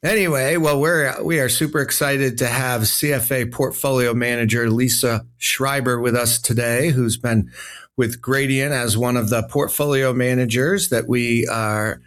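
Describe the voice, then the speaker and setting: Man, financial advisor, podcast co-host, upbeat, chatty, mid pitch. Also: steady tone, measured pace, fairly bright tone. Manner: upbeat, chatty, many fillers. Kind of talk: podcast conversation.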